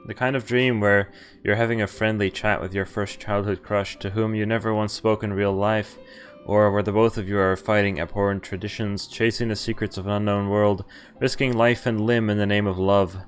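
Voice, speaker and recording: A man with a fairly low voice reads a game's story aloud as he streams. His delivery is informal and a little halting, like a live playthrough. Soft game music plays faintly behind him. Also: level tone.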